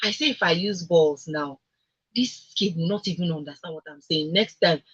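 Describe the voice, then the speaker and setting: A woman's voice: on the low side, moderate pace, breathy edge, highs cut off. A Nigerian woman hosting a conversational radio discussion, speaking emphatically and repeating words for stress. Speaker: radio talk show host.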